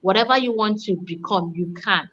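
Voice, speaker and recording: A woman's voice: medium pitch, normal speed, pushed and bright, a little hiss or hum. A cheerful woman from Nigeria introducing herself on a video call, laughing easily and sounding thankful. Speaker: guest mentor. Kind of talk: livestream self-introduction. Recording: slightly dull audio.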